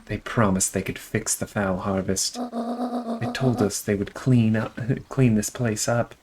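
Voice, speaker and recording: A man's voice: fairly low pitch, huge pitch swings, unhurried, darkish tone, soft background music. A man voicing an outraged townswoman in a game, accusing and impassioned. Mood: indignant. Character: defiant, outraged townswoman exposing a conspiracy.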